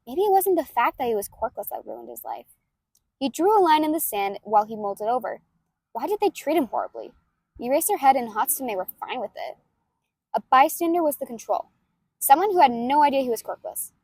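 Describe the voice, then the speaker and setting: A woman with a high-pitched voice narrates like a hobby audiobook reader. Her delivery is expressive and informal. The sound is dry, free of room reflections. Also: a little fast, husky, no echo. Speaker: amateur fan fiction reader. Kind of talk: expressive story narration and casual asides. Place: outdoors in a park near a road.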